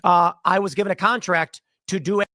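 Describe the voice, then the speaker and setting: A man's voice: sits high, fairly even intonation, brisk, bright, forceful tone, no room sound, studio quality. A man from Chicago delivering an argumentative, emphatic political commentary monologue, often restarting his sentences.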